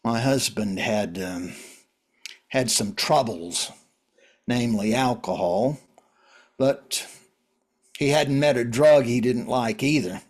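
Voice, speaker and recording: A man playing a world-weary, wry survivor in a drama reading, talking in a halting, conversational way. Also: mid-range voice, a little mellow. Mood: reflective.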